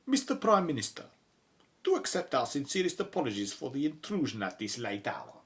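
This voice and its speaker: A man playing a courteous, smoothly formal Egyptian envoy in an audio drama, polite, measured and ceremonious. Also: fairly high pitch, very animated.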